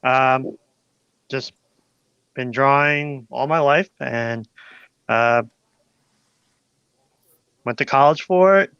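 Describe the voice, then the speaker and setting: Bright, clear-voiced male guest from New York, dry and lo-fi. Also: medium pitch, drawn-out words, pauses often, no room sound. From New York State. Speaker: guest artist. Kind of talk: casual live-stream chat. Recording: dull lo-fi audio, dry.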